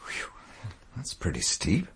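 A man's voice: slow pace, a bit bright. An actor playing a wary, educated British man in a radio drama, speaking in a guarded, terse, hesitant way. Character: wary, reserved educated Englishman investigating a sinister scheme.

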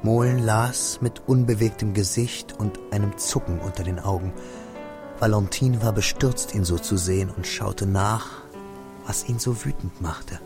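Literary radio narrator, sombre, measured storytelling, fairly low pitch, soft music underneath. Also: male voice. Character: sombre male narrator piecing together a friend's diary.

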